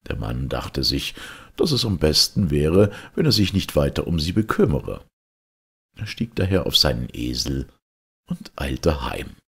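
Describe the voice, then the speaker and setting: A man reading a fairy tale as a storyteller, in a dramatic, expressive and deliberate manner. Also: very deep voice, gravelly, husky.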